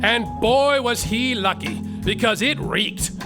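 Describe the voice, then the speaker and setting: A man's voice: extremely high pitch, lively intonation, slow. A man narrating a comedic story live on a theater stage, hammy and increasingly exasperated. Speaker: narrator and lead performer. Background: live band music.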